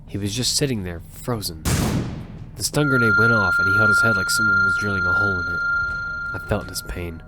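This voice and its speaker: A man's voice: sits low, brisk, natural voice. A man narrating as an earnest young squad leader. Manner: urgent, earnest storytelling. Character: young male squad leader narrating his own story. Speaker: narrator and lead character. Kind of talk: first-person audio fiction narration. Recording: music bed underneath.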